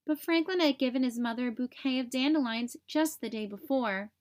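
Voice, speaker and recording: A woman reading a picture book aloud to young children, with expressive, simple storytelling. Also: sits high, brisk.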